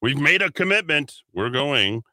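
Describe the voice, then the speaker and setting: Opinionated radio host guy, reads quotes and scoffs at them, very bright tense tone. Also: a little high, very animated, unhurried, no echo. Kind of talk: talk radio commentary. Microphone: studio broadcast mic.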